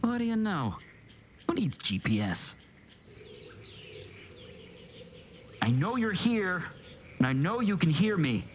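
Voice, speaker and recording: An actor playing a nervous, wisecracking young soldier from Earth in a motion comic, flippant and stammering. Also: male voice, high-pitched, big pitch swings, normal speed, noisy background. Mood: coaxing. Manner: flippant, stammering, eager to be liked. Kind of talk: animated motion-comic acting and narration. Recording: loud music behind the voice.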